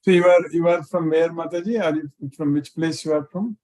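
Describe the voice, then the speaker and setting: A man's voice: fairly high pitch, steady tone, fairly quick, mellow. A man speaking as a spiritual teacher in a live online class, greeting students one by one and often repeating his greetings and short phrases. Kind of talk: live question and answer with students.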